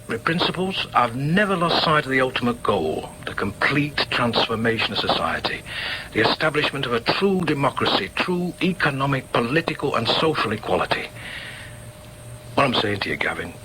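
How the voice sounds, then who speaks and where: An actor playing a proud, argumentative middle-aged socialist shop steward in a radio drama, with a Northern English accent and an emphatic, halting delivery. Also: male voice, medium pitch, sharp tone, a little hiss or hum, low-quality recording. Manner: emphatic, halting repetitions, muttered inner asides. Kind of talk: naturalistic radio drama with inner asides.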